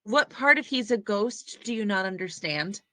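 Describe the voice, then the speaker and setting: A woman's voice: medium pitch, lively intonation, normal speed, a bit bright, no echo, natural voice. A woman playing a tense, defiant young woman fleeing a killer in a horror script table read. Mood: urgent.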